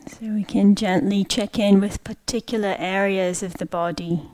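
A woman leading a guided meditation, speaking gently in short, unhurried phrases with long pauses. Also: medium pitch, steady tone. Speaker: meditation teacher.

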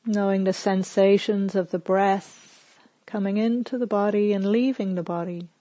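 A woman meditation teacher leading a guided meditation in a calm, gentle, measured manner with long pauses. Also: mid-range voice, dry recording, highs cut off.

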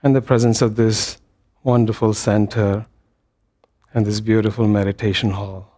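A man leading a guided meditation as a teacher, speaking gently in short phrases with long pauses between them. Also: on the low side, little pitch movement. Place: meditation hall.

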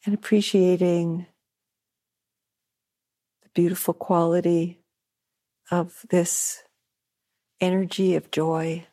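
A woman meditation teacher leading a guided meditation at a retreat center, soft-spoken, with long pauses and gently repeated phrases. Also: on the low side, little pitch movement.

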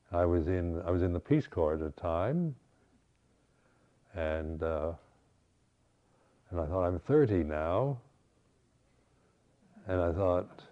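An older man, a Buddhist teacher, giving a reflective dharma talk with halting restarts. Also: deep voice, dull audio. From United States.

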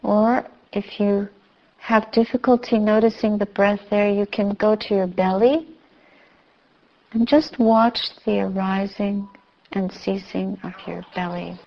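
Female meditation teacher, slow and measured, soothing guidance, slightly lo-fi sound. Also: mid-range voice, steady tone, creaky. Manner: measured, soothing, with long pauses. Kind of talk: guided meditation instruction. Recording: slightly dull, muffled recording.

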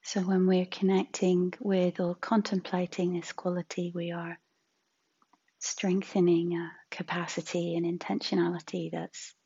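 A woman teaching a guided meditation in a soft, breathy, husky voice, gentle and unhurried with long pauses. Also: on the low side, monotone, dull audio.

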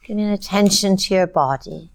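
A woman teaching a guided meditation in a calm, measured way, with long pauses between short phrases. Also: mid-range voice.

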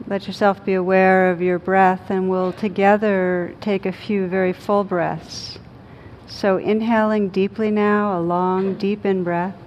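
A woman leads a guided meditation as a teacher, speaking slowly and soothingly with long pauses. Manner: slow, calm, soothing delivery with long pauses. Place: live group session in a room. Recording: dull lo-fi sound with rumbling noise.

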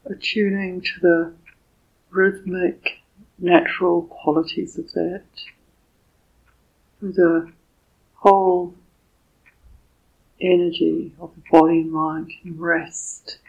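A woman leads a guided meditation with a soft, husky voice. Her delivery is very slow and level, with gentle, deliberate pauses between short phrases. The recording sounds somewhat dull and muffled. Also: on the low side, little pitch movement. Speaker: meditation teacher.

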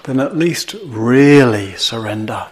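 Slow-paced man guiding a meditation. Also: mid-range voice, lively intonation. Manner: gentle, measured, with long pauses between short phrases. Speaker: meditation teacher. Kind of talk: guided meditation.

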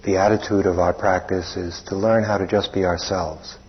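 A man leading a guided meditation as a teacher, speaking plainly in short, unhurried phrases with long pauses. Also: sits low, little pitch movement, slight background noise. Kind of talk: guided meditation instruction, spoken live. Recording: dull, muffled lo-fi recording.

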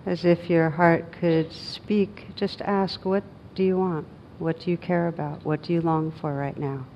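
A woman meditation teacher leading a guided meditation in a gentle, unhurried manner with long pauses. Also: on the low side, steady tone, muffled recording, slight background noise.

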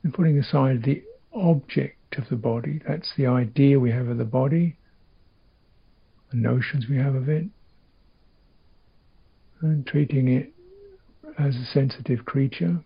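Gravelly man guiding a meditation. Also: mid-range voice, highs cut off. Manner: halting, reflective, short phrases with long pauses. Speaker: meditation teacher. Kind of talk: guided meditation.

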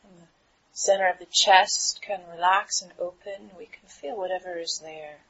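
Female meditation guide, lowish register, unhurried and soothing, leaving pauses; dull recording. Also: fairly even intonation, a bit bright. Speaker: meditation teacher. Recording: dull, muffled recording with clear background noise.

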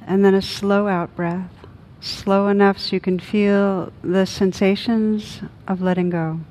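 A woman meditation teacher leading a guided meditation in a gentle, soothing manner with long pauses. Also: medium pitch, fairly even intonation, low-quality recording.

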